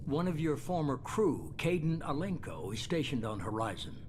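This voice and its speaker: An actor playing a calculating, authoritative leader of a secretive organisation in a video game, with measured, controlled delivery. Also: male voice, on the high side, very animated. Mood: grave, urgent. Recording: game capture with clear ambient noise.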